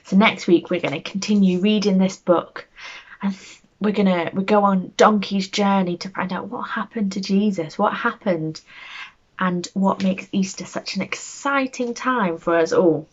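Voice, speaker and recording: A woman telling a bedtime story to young children, speaking gently and expressively as she reads aloud and chats to them. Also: mid-range voice, moderate pace, dull audio.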